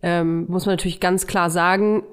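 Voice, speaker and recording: A woman's voice: on the low side, steady tone, moderate pace, clean tone. Chatty self-mocking woman in her thirties. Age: 30s. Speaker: podcast co-host. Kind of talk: casual podcast chat.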